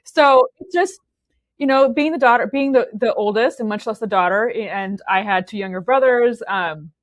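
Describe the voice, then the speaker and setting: A woman's voice: a little high, moderate pace, no room sound. A woman in her forties from Romania, talking casually as an interview guest, restarting sentences and tagging them with "okay?". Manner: chatty, many restarts and "okay?" tags.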